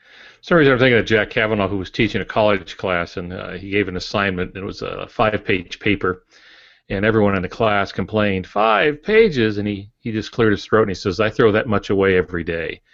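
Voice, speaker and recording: A man with a low voice shares stories as an author on a writing podcast. He speaks in a relaxed way, pausing often with filler sounds. The audio is dry but dull and a bit lo-fi. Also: vocal fry, no room sound. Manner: relaxed storytelling, many "uh" pauses. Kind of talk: casual writing podcast chat.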